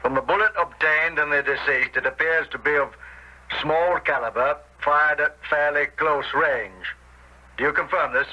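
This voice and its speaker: An actor playing a formal, courteous coroner in a radio drama, putting questions in an official, probing manner. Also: male voice, a little high, normal speed, close to an everyday voice. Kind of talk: 1970s radio drama acting. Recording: dull lo-fi old radio recording.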